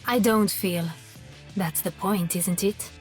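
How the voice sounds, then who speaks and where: A woman's voice: medium pitch, fairly even intonation, slow pace, faint music behind, natural voice. A woman playing an emotionless French assassin villain in a video game, with a French accent. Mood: icy. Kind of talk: video game character voice acting.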